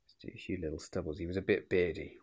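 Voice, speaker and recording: A man's voice: low-pitched, steady tone, fast, darkish tone, slightly rough. A man who sounds sniffly with a cold, chatting informally and unhurriedly to viewers as he talks through a drawing tutorial step by step, as an illustrator and host.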